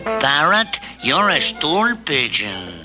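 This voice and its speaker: A man's voice: a little high, big pitch swings, slow. An actor voicing a bossy, scheming male crook in a 1960s TV cartoon, barking impatient orders. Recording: music bed under dialogue.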